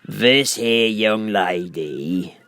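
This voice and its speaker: A man's voice: on the low side, animated, drawn-out words, a bit bright, dry recording, full character voice. A man voicing an amused, lazy storybook griffin with drawled, folksy, ungrammatical speech. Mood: offhand. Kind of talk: storybook character voice.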